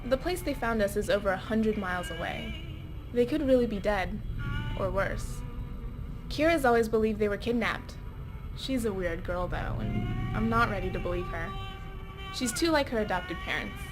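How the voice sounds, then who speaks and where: A woman's voice: mid-range voice, animated, normal speed, music in the background, natural voice. A woman playing a guarded young vault girl in video game dialogue, conversational and confiding. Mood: wistful. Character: guarded young vault girl curious about her family.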